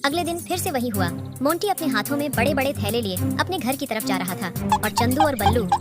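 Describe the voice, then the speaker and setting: Woman narrating a kids story, kinda high voice, loud music behind her. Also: lively intonation, brisk. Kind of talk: scripted children's story narration.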